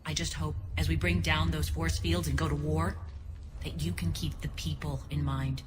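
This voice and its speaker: Low, echoing female alien rebel leader, actress-voiced. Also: flat delivery, music in the background, lightly acted. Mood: earnest, measured. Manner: earnest, measured, dryly pointed. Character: principled, wary female alien resistance leader, ex-soldier. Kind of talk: dramatic video game acting. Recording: long reverb, noisy background.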